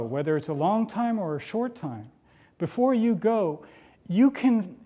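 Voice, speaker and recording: Higher-pitched man giving a motivational speech. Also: a little mellow. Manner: earnest, emphatic, repeats phrases for rhetorical effect. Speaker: public speaker. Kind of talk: motivational public address. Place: room, speaking to a campus audience.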